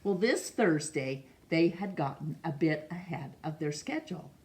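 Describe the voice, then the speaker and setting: A middle-aged woman reading a picture book aloud in a measured, expressive way for children. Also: deep voice. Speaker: storytime reader. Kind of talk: read-aloud storybook narration. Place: small room indoors.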